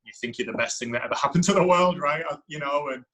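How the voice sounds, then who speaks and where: Deep-voiced male coach, bright and reflective. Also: fast talker. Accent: foreign to the United States. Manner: reflective, thinking aloud. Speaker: women's soccer head coach. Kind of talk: podcast interview answer.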